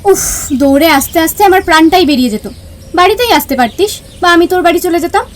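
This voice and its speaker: A woman's voice: very high-pitched, expressive, quick pace. An actress playing an impulsive, tender, lovestruck young woman in an audio drama. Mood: breathless, excited. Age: young adult. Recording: music under the voice.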